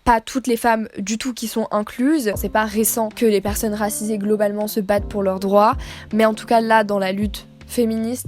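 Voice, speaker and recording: Young woman explaining stuff on her channel, bit high voice, laid-back and chatty, some music under it. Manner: casual, informal asides with fillers. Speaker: video creator. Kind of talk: explanatory video essay.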